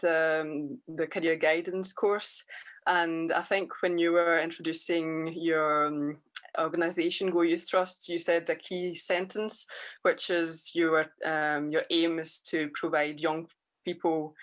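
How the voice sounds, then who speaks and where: Soft-spoken woman in her 20s, slightly low voice, lots of ums. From Scotland, raised in France. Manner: soft-spoken, many fillers. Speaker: interviewee, youth worker. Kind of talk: conversational interview.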